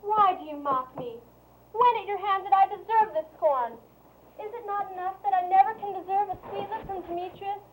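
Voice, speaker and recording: A woman's voice: very high-pitched, flat delivery, fast talker, hardly any pauses, warm tone, poor audio. A woman playing a lovesick, pleading young woman in Shakespearean verse, earnest and plaintive. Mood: hurt, indignant. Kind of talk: Shakespearean verse stage acting. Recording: faint background noise.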